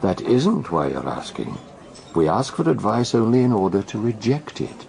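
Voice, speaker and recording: A man acting in a radio drama as a cryptic, needling tea-house detective and mentor, speaking in measured aphorisms. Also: on the low side, sing-song, vocal fry, a little mellow. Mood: dry, evasive. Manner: measured, aphoristic, teasing, Socratic.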